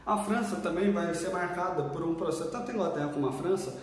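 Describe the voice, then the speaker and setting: A man's voice: sits high, fast talker, slight background noise. A Brazilian history teacher giving an exam-prep video lesson in a casual, chatty way, often checking in with his students. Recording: echoey room, mic far off.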